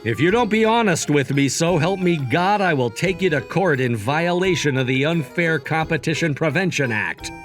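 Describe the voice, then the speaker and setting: A man plays a young male CEO in a manga dub, composed and principled. He explains and lectures firmly in a slightly high, expressive voice, with music underneath. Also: moderate pace, hardly any pauses. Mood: stern. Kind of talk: manga dub narration and dialogue.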